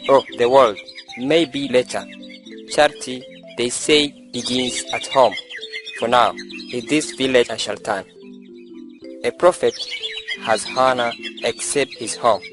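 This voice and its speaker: A man's voice: mid-range voice, fairly even intonation, a little slow, long pauses, over music, close to an everyday voice. A man playing a pompous, lovestruck young village schoolteacher in an amateur student drama, speaking in a declamatory, preachy way. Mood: preachy, condescending.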